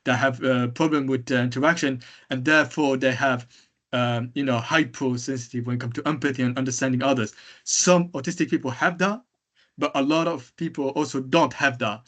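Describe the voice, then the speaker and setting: A man with a loud voice gives a conference presentation. He pauses and fills gaps with ums and you-knows as he talks through his points, his pitch sitting in the middle range. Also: fairly even intonation. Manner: hesitant, many fillers. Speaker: conference speaker. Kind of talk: presentation talk.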